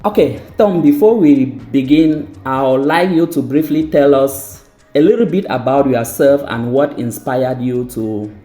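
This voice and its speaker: A man's voice: medium pitch, mellow. A man from Cameroon hosting a transport podcast, giving a formal scripted introduction and asking interview questions. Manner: formal, measured delivery. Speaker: podcast host. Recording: echoey room with music bed.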